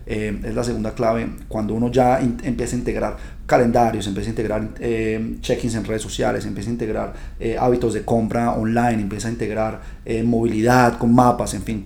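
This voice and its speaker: Male podcast host, gravelly and warm in tone, chatty and upbeat, speaks straight to listeners. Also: mid-range voice, slight background noise. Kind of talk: casual podcast monologue.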